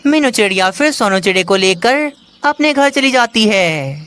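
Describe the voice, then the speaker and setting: A woman narrates a scripted children's tale in a high-pitched voice. Birdsong and background noise are heard behind her storytelling. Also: lively intonation, moderate pace, fairly bright tone.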